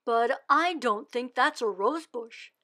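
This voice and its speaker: A woman's voice: a little high, lively intonation, slow pace, sharp tone, exaggerated voice. A woman reading aloud voices a skeptical working man who speaks plainly and doubtfully.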